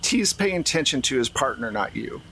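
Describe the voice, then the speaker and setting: A man's voice: medium pitch, sing-song, normal speed, pushed and bright, a little hiss or hum. A man speaking as game master, narrating a tabletop game in a casual way.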